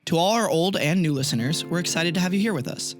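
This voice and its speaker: Guy doing a scripted show intro, slightly high voice, plucked guitar behind him. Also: lively intonation, fast talker. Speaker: show host.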